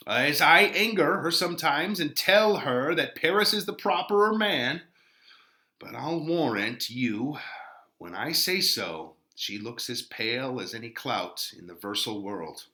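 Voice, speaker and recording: A man's voice: fairly high pitch, bright, forceful tone, reverberant, pitched above the performer's own voice, heavily acted. A man voicing a chatty, fussy, protective older nursemaid, rambling and exclaiming theatrically in a Shakespeare play reading.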